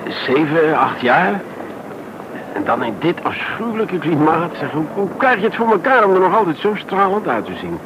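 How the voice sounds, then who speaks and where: An actor playing an excitable, talkative traveller and old friend, with a halting, exclamatory way of speaking. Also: male voice, fairly high pitch, dull audio. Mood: surprised, delighted. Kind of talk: naturalistic radio play acting. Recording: noisy old radio drama recording.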